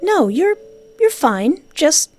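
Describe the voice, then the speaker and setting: A woman's voice: high voice, sing-song, slow, a little mellow. A woman let's player reading game narration aloud, with playful, jokey casual commentary. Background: game music.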